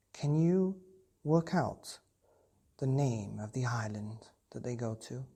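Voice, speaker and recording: A woman's voice: rumbling low, gravelly, mellow, breathy edge. A woman reading a children's bedtime story expressively, speaking directly to young listeners. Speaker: bedtime story podcast reader.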